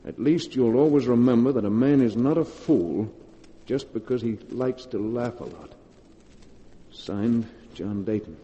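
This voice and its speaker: A male actor plays a tense, guilty man caught in a cave in a 1940s radio horror drama. His voice is very dark and rounded, with urgent, theatrical delivery. The old recording is muffled and carries a long, cavernous reverb. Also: medium pitch, measured pace, close to an everyday voice. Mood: grave reading.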